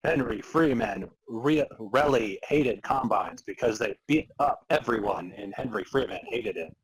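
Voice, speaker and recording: A man's voice: mid-range voice, lively intonation, a little slow, breathy edge, poor audio. A man co-hosting a comedy podcast, alternating mock-dramatic story narration with casual chat. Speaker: podcast co-host.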